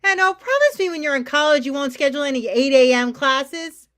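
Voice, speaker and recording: A woman's voice: sits high, lively intonation, brisk, fairly bright tone. A woman playing an anxious, coaxing mother in a staged reading of a drama, fussing and placating. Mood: gently nagging. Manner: pleading, fussing.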